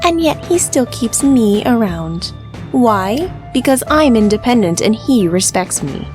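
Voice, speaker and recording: A woman's voice: a little high, big pitch swings, a little slow, a little mellow, soft background music. A woman voicing a proud, independent, bitter female cat in a visual novel, curt and haughty. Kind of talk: character acting in a visual novel.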